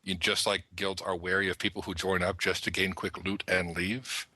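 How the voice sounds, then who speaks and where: Low bright voiced guy on a gaming podcast, keen, really explains stuff, dry sound. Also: flat delivery, moderate pace, no echo. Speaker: podcast panelist. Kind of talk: panel discussion of an online roleplaying game.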